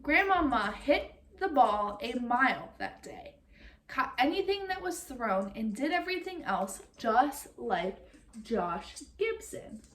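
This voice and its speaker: A woman reading a picture book aloud like a teacher, expressive and speaking directly to young listeners. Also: on the high side, takes it slowly, a little hiss or hum. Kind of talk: read-aloud storytelling with comprehension questions.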